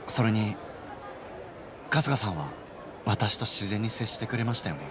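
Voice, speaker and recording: A man's voice: fairly low pitch, lively intonation, moderate pace, noisy background, close to an everyday voice. A man voicing a modest, sincere young man with polite speech in a video game. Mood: sincere, grateful. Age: young adult. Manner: polite, soft-mannered speech. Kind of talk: Japanese video game voice acting.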